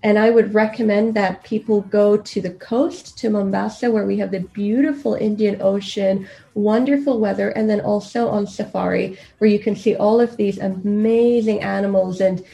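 A woman's voice: medium pitch, steady tone, normal speed, creaky, dark tone, rough recording. A woman from Kenya speaking conversationally as a podcast guest, telling personal stories. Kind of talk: conversational personal storytelling interview.

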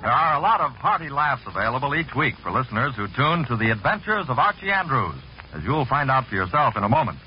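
Male vintage promo announcer, 1940s radio, polished sales delivery, lively intonation, muffled archival sound. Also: mid-range voice, quick pace, music in the background. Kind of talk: 1940s promotional scripted narration. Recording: dull vintage radio recording.

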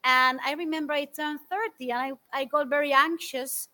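A woman in her forties from Argentina, guest on an interview show, telling stories in an animated, thoughtful way with many fillers. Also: sits high, moderate pace. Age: 40s. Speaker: guest speaker. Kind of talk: interview answers, storytelling.

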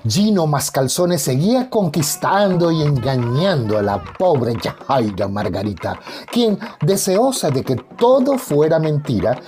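A man's voice: fairly high pitch, very animated, unhurried, hardly any pauses, a little mellow, a little hiss or hum. A man narrating a radio soap opera in a dramatic, florid, suspenseful scripted style.

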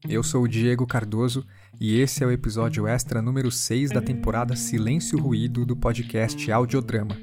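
Man, Brazilian Portuguese accent, podcast host and interviewer, mid-range pitch, plucked guitar behind. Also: little pitch movement, moderate pace.

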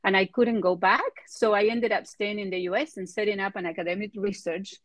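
Middle-aged woman, Argentine accent, native Spanish speaker, conversational interviewee, halting phrasing, very little room sound. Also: medium pitch, fairly even intonation, no echo, studio quality. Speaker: interview guest, biotech executive.

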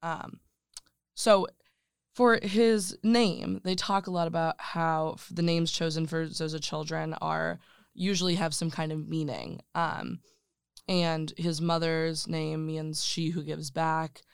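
An interviewer leading a casual book discussion, thoughtful and hesitant with many pauses. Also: mid-range voice, steady tone, vocal fry, smooth, no room sound, studio quality. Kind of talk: casual conversation.

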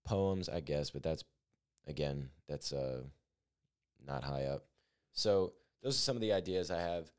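A man's voice: rumbling low, animated, unhurried, clean tone. An eighteen-year-old man hosting his own podcast, talking through his plans in an earnest, upbeat, rambling way with many fillers. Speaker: podcast host. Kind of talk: solo podcast monologue.

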